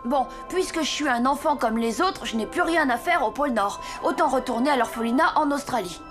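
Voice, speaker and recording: An earnest young cartoon boy full of self-doubt. The voice is high and boyish, with music playing underneath. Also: expressive, a little fast. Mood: exasperated, dejected. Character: earnest, self-doubting orphan boy, apprentice Father Christmas. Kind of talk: French children's cartoon dubbing. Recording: music behind the voice.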